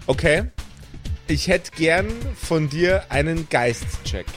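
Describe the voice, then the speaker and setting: A man's voice: fairly high pitch, takes it slowly, a bit bright. A man improvising narration as the game master of a tabletop role-playing game, in a playful way. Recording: music bed behind the voice. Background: background music.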